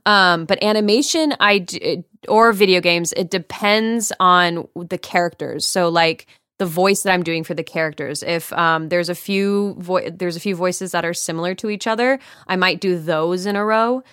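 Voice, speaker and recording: A woman's voice: lowish voice, moderate pace, hardly any pauses, fairly bright tone, smooth, no room sound, studio quality. A woman voice actor chatting on a podcast, acting out her own inner thoughts as she talks. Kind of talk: casual chat.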